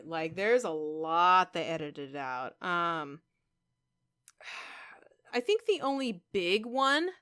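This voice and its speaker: A woman talks in a casual vlog style, with a clear, smooth voice at a medium pitch. She rambles conversationally to her viewers, leaning on certain words in emphatic little asides. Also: fairly bright tone. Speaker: YouTuber and game streamer.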